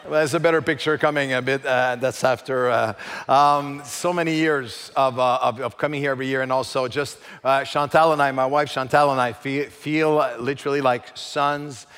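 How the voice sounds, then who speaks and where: Preacher, halting English. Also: male voice, mid-range voice, clean tone, in a large hall. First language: French. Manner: halting, with many fillers and restarts; lively, joking with the congregation. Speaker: guest preacher. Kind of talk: church sermon. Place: large church sanctuary.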